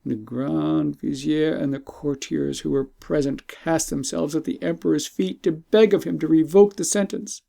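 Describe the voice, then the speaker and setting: A man narrates an old tale for an audiobook, with a voice pitched a bit above the usual for men. His tone is warm and soft, and he reads in a measured, formal storytelling manner. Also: steady tone, vocal fry.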